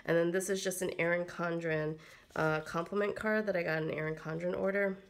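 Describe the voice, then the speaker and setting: A woman's voice: fairly low pitch, fairly even intonation, brisk. A woman getting over a cold, her voice slightly froggy, chatting casually through a planner walkthrough with lots of ums and likes. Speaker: planner vlogger. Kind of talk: casual plan-with-me narration.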